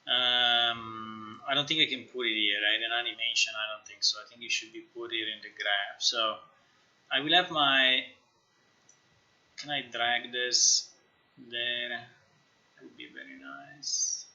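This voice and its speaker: Sharp, bright-voiced man thinking aloud, slow-paced. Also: mid-range voice. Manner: halting, musing, talks to himself. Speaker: game developer live-streamer. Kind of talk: casual live screen-share commentary, thinking aloud. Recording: slightly dull, lo-fi stream audio.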